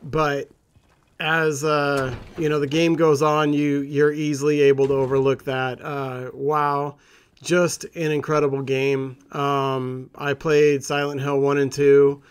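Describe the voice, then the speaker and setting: A man's voice: on the high side, level tone, slow, clean tone. A man hosting a gaming channel, chatting casually to viewers in an informal, rambling, conversational way.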